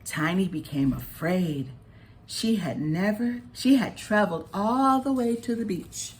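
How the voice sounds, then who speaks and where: A woman narrates a children's storybook at a slow, careful pace. Her delivery is expressive, with animated pitch movement and deliberate phrasing. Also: slight background noise. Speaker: children's author reading aloud.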